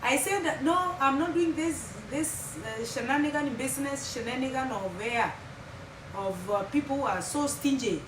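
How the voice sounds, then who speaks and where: A chatty Kenyan woman in her thirties tells her life story to her viewers, her voice sitting slightly high. She is recorded from a distance in a roomy, echoing space. A steady, loud noise runs underneath her talk. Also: normal speed. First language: Kimeru. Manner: chatty, talks straight to viewers, drops Swahili phrases. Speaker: vlogger. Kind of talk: casual storytelling vlog. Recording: echoey room from far off, loud background hum.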